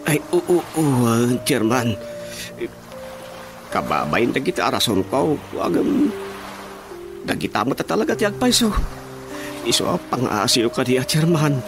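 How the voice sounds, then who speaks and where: A sheepish, stammering village councilman in a radio drama comedy. He speaks with an Ilocano accent and very animated, swooping pitch. Loud music plays behind his pleading excuses. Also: a little slow, long pauses. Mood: pleading, insistent. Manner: hesitant, stammering.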